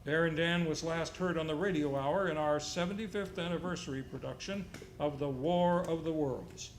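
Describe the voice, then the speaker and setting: A man with a slightly high voice announces an old-time radio show as its host. His delivery is theatrical and playfully comic, read from a script. The live stage recording carries clear background noise. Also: moderate pace.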